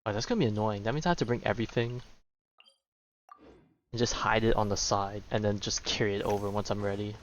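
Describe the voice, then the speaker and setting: A man streaming a video game, chatting casually in a rambling, halting way as he thinks aloud. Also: lowish voice, fairly even intonation. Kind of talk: casual livestream chat over gameplay. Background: game music and battle sounds.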